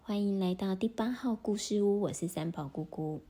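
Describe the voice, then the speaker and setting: Lady reading a bedtime-ish story to kids, super breathy, soft mellow tone. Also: medium pitch, moderate pace. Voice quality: breathy, often half-whispered. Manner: gentle, animated, addresses children. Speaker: storyteller for children. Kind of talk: children's story narration.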